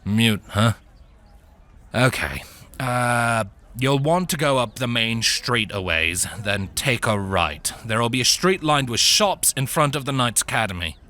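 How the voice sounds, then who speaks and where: A man's voice: medium pitch, expressive, a little slow, sharp tone. A drowsy, slouching male guard from a fantasy storybook, put on by a male narrator. He drawls with boredom and hesitates as he talks, sounding barely interested. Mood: bored, helpful.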